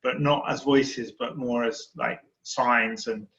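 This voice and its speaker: A man's voice: mid-range voice, fairly even intonation, slow pace, a bit bright, dull audio. A man with an English accent telling his story frankly, hesitating often with fillers. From England. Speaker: psychologist and guest. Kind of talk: candid interview storytelling.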